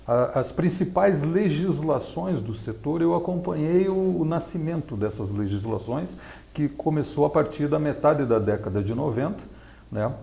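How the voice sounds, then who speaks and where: Gravelly middle-aged man explaining. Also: a little high, expressive, mellow. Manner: halting, didactic, frequent tag questions. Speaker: interview guest, accountant and nonprofit consultant. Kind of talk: explanatory interview talk.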